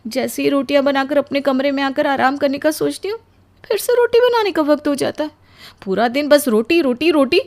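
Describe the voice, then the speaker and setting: A woman acts out a young newlywed wife in a cartoon melodrama. The voice is extremely high and plaintive, full of weary complaint at being put upon. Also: animated, a little fast. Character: exhausted, put-upon young newlywed bride, aggrieved and tearful. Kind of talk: melodramatic cartoon story acting.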